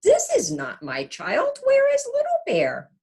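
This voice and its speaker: A woman's voice: very high voice, huge pitch swings, a little slow, darkish tone, voice pushed up, full character voice. Lady doing a grumpy mama bear from a kids book, emphatic, voice jumps way up. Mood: startled, alarmed. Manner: exclaiming, emphatic. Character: protective mother bear, startled and grumpy.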